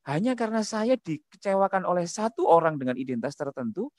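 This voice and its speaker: A man giving a public talk, animated, posing quiz questions to the audience. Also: sits high, fast, no room sound, studio quality. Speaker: speaker at a discussion.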